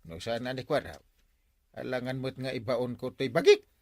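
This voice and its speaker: A man playing a lazy, bossy villager in a comedy audio drama, whiny, excitable and evasive. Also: mid-range voice, moderate pace, no room sound. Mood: nosy, scheming. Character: lazy bossy village man who orders others to do chores. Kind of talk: comedy radio drama acting.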